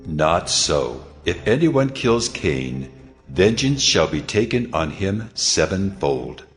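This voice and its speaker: God, very low voice, slow, grave, commanding, long reverb, dramatized scripture. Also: male voice, very animated, exaggerated voice. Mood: firm. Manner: grave, measured, authoritative. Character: God, solemn commanding male deity. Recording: long reverb over music.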